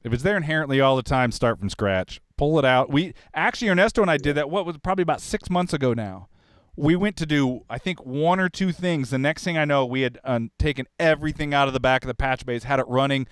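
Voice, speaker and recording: A man's voice: mid-range voice, clear, resonant tone, no echo. A man from Kansas hosting a casual chat about wiring a recording studio, rambling and restarting his questions with chuckles. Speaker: podcast host. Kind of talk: casual studio shop talk.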